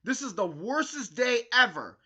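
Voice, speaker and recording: A man's voice: very high-pitched, big pitch swings, normal speed, pushed and bright, slightly rough, voice pushed up, full character voice. A man voicing a grumpy, sulky young boy turtle from a storybook, with petulant emphasis. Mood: angry, wailing.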